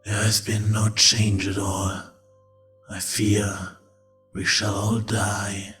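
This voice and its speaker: A man's voice: fairly low pitch, monotone, slow pace, music in the background. A man playing a loyal, resigned creature medic in an audio drama, solemn and deferential toward his queen. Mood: grave resignation.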